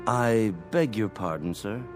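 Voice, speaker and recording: An actor playing a polite, apologetic club attendant in a radio play, fussing and servile. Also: male voice, fairly low pitch, unhurried, over music, lightly acted. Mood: deferential.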